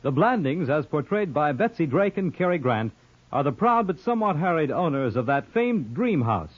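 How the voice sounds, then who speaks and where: A man announces in the manner of 1950s network radio, reading scripted promos. His voice is fairly high and swings animatedly. The vintage recording is a little dull. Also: very animated, normal speed.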